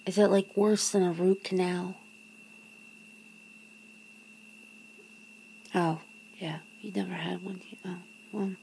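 A woman playing a wary, wry human confronting a vampire lover in an ASMR roleplay, breathy and often slipping into a whisper, hesitant and casual. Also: on the low side, fairly even intonation, moderate pace. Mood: hesitant, pondering. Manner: hesitant, sardonic, casual, sighing. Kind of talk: ASMR roleplay, half-whispered one-sided dialogue. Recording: noticeable background noise and ambience.

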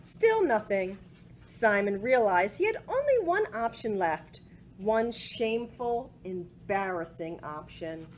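A woman in her forties reading a picture book aloud to children in an expressive way, with asides about the pictures. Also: medium pitch, slow pace. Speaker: library storytime presenter. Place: small indoor room with bookshelves. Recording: home recording with faint background noise.

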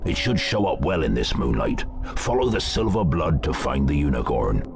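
A man playing a huge, kindly, gruff giant gamekeeper with a West Country accent in a video game, giving folksy cautions. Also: very deep voice, sing-song, moderate pace, background noise, heavily acted. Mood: cautioning, kindly. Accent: English West Country. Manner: plain-spoken, cautioning, folksy. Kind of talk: video game character dialogue.

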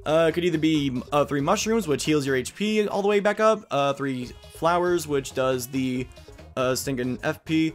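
A man's voice: on the high side, moderate pace. A man giving playful video game commentary as a Let's Play host, with jokey, self-deprecating asides. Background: game music.

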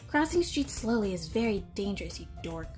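A woman voicing an anxious, lovestruck teenage schoolgirl in a comic dub, flustered and expressive. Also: on the high side, a little slow, soft background music. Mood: teasing. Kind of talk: animated-style comic dub acting.

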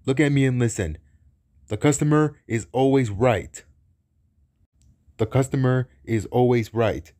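Guy doing a bossy cartoon bad guy, big blustery short fuse, mid pitch, takes his time. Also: measured pace, long pauses, mellow, close to an everyday voice. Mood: excited, commanding. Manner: bossy, blustering, repeats words. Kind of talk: cartoon villain character lines.

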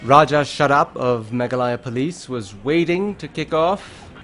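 A man presenting a sports highlights show in a scripted voice-over, with an emphatic, measured delivery. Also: fairly bright tone. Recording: music under the voice. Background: background music.

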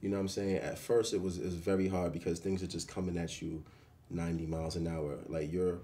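A man's voice: deep voice, little pitch movement, fast talker, mellow. A middle-aged man talking casually as an interview guest, often adding little check-in phrases as he goes. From Jamaica and the Bronx, New York. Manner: peppers talk with "you know what I'm saying". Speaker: guest, restaurant owner and chef. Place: small restaurant dining room, indoors.

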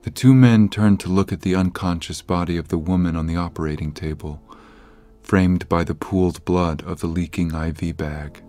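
A deep-voiced man narrates a horror audio drama. His storytelling is scripted, literary and measured, with music clearly playing behind him. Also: fairly even intonation, warm tone.

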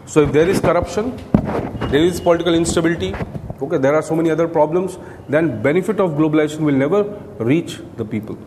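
A man's voice: on the high side, fast. A middle-aged man with an Indian accent giving a classroom lecture, explaining point by point and often checking in with "right?". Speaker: coaching lecturer. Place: indoor classroom, at a podium beside a screen. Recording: echoey room with clear background noise.

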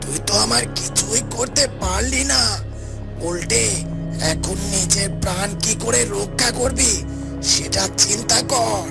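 A performer voicing a wicked, taunting demon with gravelly menace in a melodramatic cartoon fairytale. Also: mid-range voice, measured pace. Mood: taunting, menacing. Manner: taunting, threatening. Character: wicked gloating demon monster, menacing bully. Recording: loud background music.

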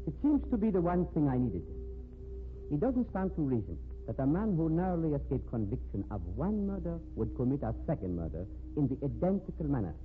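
Courteous old-radio detective, tinny sound. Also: male voice, a little high, sing-song, faint music behind. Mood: polite, assured. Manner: formal, measured, precise diction. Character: courteous, methodical male police detective, coolly probing. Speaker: actor in radio drama. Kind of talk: 1950s radio mystery acting. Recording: tinny, noisy 1950s radio recording.

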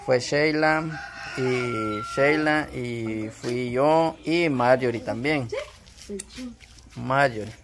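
A woman hosting a casual vlog on an outdoor patio, talking haltingly with lots of fillers. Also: a bass voice, noisy background. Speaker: vlog host. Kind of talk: casual chat with viewers.

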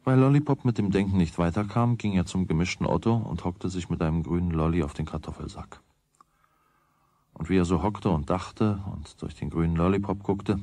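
A man's voice: sits low, gravelly, warm tone, husky, dry recording, heavily acted. A man narrating a children's radio play in a scripted storytelling style.

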